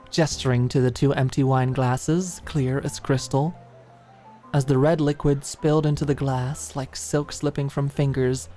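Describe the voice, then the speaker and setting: A woman narrating an intimate audiobook in a soft, measured, emotive way. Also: extremely low pitch. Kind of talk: scripted dramatic narration, intimate ASMR audiobook.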